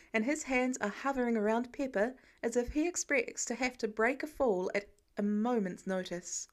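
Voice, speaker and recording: A woman narrates a piece of fan fiction. Her voice sits fairly high, and she reads from a script as a storyteller, voicing prose between lines of dialogue. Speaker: podfic reader.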